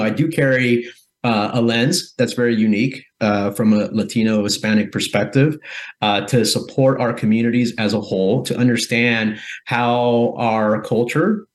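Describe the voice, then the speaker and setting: A man from Guatemala, a guest in an interview, giving thoughtful answers with frequent pauses and restarts. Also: fairly low pitch. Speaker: guest, corporate philanthropy executive.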